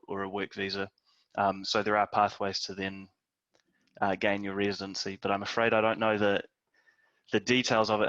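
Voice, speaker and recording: Low, flat-voiced man hedging on a call. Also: no echo, dull audio. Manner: modest, hedging, many ums. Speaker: webinar panelist. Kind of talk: hesitant explanation.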